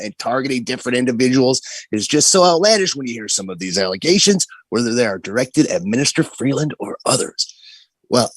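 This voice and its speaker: A man's voice: mid-range voice, no room sound. A man co-hosting a commentary livestream who reacts to the host and reads articles aloud.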